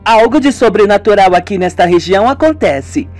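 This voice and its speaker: A man's voice: high voice, animated, moderate pace, faint music behind, pitched above the performer's own voice. A man voicing a suspicious young woman who asks probing questions in a radio soap. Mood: desconfiada.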